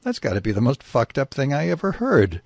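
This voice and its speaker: Man as a blunt, husky she-bear. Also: medium pitch, wildly swooping pitch, brisk, no echo, heavily acted. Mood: stunned disbelief. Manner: blunt, questioning, disbelieving. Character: blunt, incredulous female grizzly bear, the hungry mate.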